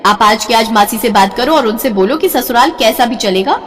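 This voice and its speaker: A woman voicing a spoiled, excitable young daughter in a storybook, whiny, dramatic and gushing. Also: on the high side, moderate pace, big-room sound, faint music behind, close to an everyday voice. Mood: excited, dreamy. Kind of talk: melodramatic audio storybook acting.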